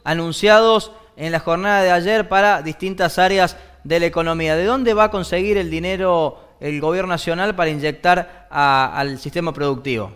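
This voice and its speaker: High-voiced, clear Argentine male interviewer. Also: moderate pace, few pauses, a bit bright. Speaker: program host, interviewer. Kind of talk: news interview questioning.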